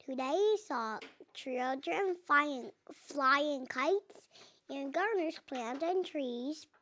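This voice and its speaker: Slow, high-pitched little girl reading aloud. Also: animated, sharp tone. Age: young child, four and a half. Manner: halting, sounding out words, repeating. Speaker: child reader. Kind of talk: reading a picture book aloud.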